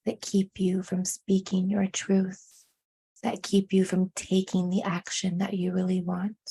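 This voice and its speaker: A woman leading a guided meditation in a hushed, soothing manner, with deliberate phrasing and frequent pauses. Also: lowish voice, vocal fry, slightly rough.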